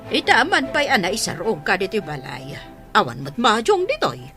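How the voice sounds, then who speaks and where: A woman's voice: medium pitch, huge pitch swings, moderate pace, over loud music. A woman acting in a radio drama as a stern but caring old grandmother. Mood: scolding.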